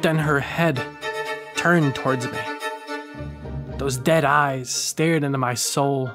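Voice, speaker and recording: A man's voice: mid-range voice, fairly bright tone. Man narrating horror over music. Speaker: audio drama creator and narrator. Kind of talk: first-person horror narration and casual sign-off.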